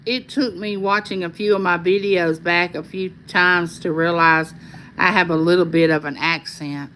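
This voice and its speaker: A woman with a Southern Arkansas accent hosts a casual livestream, reading viewer comments aloud and answering each by name in a calming voice. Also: fairly low pitch, moderate pace, a little hiss or hum, rough recording. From California. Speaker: livestream host.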